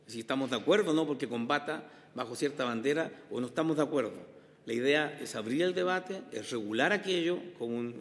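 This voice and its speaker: A Chilean man speaks in a large, reverberant committee room. His voice sits at a medium pitch, and he chairs with formal, unscripted remarks, deliberate and halting as he repeats words. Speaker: senator chairing a parliamentary committee. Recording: echoey hall with long reverb.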